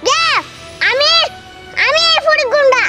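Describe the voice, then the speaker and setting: Teeny cartoon gangster kid, super high pitched, cocky, music blaring behind. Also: steady tone, measured pace. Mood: defiant. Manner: boastful, challenging. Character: tiny cocky child-sized gangster conjured from a computer. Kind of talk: cartoon voice acting.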